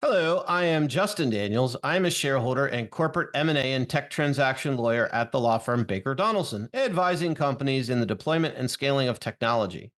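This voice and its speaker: A man's voice: medium pitch, animated, fairly quick, few pauses, a bit bright. A man co-hosting a podcast, reading a scripted intro and trading dry, teasing jokes with his co-host. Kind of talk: scripted intro and joking banter.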